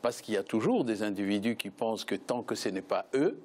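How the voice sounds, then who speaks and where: Guy with a lowish voice on a political panel, repeats himself while arguing. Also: animated, a little fast, a little mellow. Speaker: debate panel guest. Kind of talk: political analysis in spoken debate.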